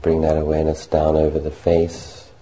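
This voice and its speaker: A man leading a guided meditation as a monk, speaking gently and unhurriedly in short phrases with long pauses. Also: a bass voice, completely flat delivery.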